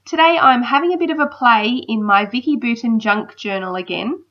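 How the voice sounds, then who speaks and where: A woman's voice: a little high, moderate pace. A woman in her thirties with a slight cold, chatting casually in a voice-over. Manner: chatty, relaxed, frequent ums. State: battling a cold. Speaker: crafter narrating a process video. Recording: slightly dull lo-fi sound.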